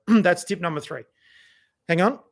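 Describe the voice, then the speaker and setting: A middle-aged Australian man with a voice worn hoarse, giving chatty, encouraging advice on a live video talk, with many restarts. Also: high-pitched, a little slow. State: losing his voice. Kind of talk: casual motivational live talk.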